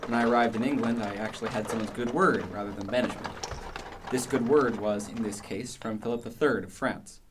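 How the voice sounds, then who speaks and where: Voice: mid-range voice, fairly quick, close to an everyday voice. A performer playing a proud, argumentative male Renaissance philosopher in exile in a radio play, speaking in a dry, haughty manner. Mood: dry, defensive. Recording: faint background noise.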